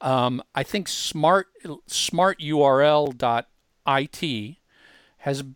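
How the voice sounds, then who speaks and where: Smooth-voiced man explaining casually, close and dry. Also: medium pitch, slow pace, a bit bright, no echo. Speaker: podcast co-host giving a software demonstration. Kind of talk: casual explanatory talk, walking through features.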